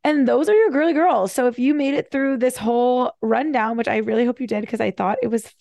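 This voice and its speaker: A woman hosting a solo podcast, talking fast and casually with wry, animated asides. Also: a little high, vocal fry, no room sound, studio quality. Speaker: podcast host. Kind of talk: casual solo commentary, reading bios with asides.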